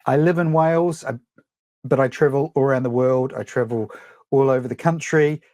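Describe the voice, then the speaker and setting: A man's voice: medium pitch, moderate pace, mellow, no echo. A middle-aged man with a New Zealand accent, presenting a live photography webinar in a chatty, rambling way, full of ums and restarts. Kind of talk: casual live webinar teaching.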